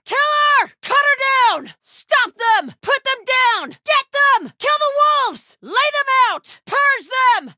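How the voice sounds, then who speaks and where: A fierce, devout female cult warrior for a video game. Her voice is very high and bright, swinging widely in pitch. She speaks with fanatical conviction, every word clipped into a combat line. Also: no echo, clean studio sound, full character voice. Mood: fierce, commanding. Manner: urgent shouted battle calls, hushed prayerful murmurs.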